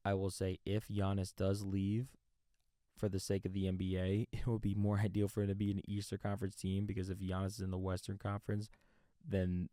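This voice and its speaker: Male sports host, mellow and dry-recorded, halting unscripted commentary. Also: low-pitched, dry recording. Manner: halting, rephrases mid-sentence.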